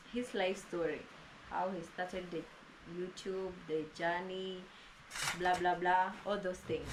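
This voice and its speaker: A woman hosting a casual interview with a fellow YouTuber, rambling along with plenty of fillers and restarts, recorded inside a house. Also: on the low side. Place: inside a house during heavy rain. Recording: noisy with rain outside.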